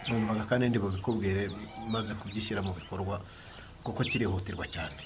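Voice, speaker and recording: A man playing an enterprising, affectionate village husband in an animated radio drama. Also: fairly low pitch, quick pace, natural voice. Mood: confiding. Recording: noisy ambience with chirping birds.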